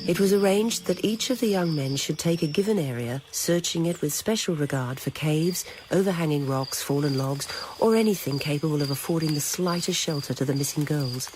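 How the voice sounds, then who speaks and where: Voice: medium pitch, brisk, few pauses. A narrator reading literary, scripted prose for a radio drama. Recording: noisy old radio recording.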